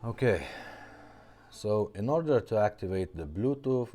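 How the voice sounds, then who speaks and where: Deep-voiced man giving a casual demo. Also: mellow. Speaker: repair shop presenter demonstrating a product. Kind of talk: casual product demonstration, explaining step by step.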